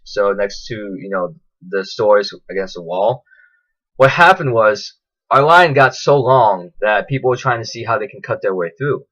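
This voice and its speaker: A man telling a casual, enthusiastic vlog recap in a chatty, animated way. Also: mid-range voice, highs cut off. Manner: animated, chatty, acts out quotes in raised voices. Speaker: vlogger.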